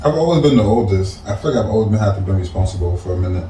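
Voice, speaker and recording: A man's voice: fairly low pitch, lively intonation, rapid-fire, mellow, a little hiss or hum. A man on a podcast speaking in a matter-of-fact way with short remarks. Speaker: podcast co-host. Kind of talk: casual chat. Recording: roomy, distant mic.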